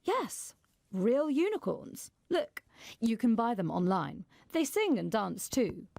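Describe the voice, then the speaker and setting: A woman's voice: fairly high pitch, big pitch swings, takes it slowly, creaky, darkish tone, dry recording, studio quality, heavily acted. A woman voicing a confident, pushy, tech-loving young girl in a children's storybook, bossy and showing off.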